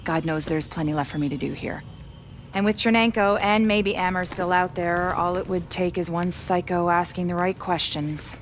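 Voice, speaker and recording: A woman's voice: lowish voice, fairly even intonation, normal speed, a little hiss or hum, natural voice. An actress playing a brusque, sardonic woman doctor and driven virus researcher in a video game cutscene. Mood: earnest, weary. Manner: sarcastic, blunt, impatient.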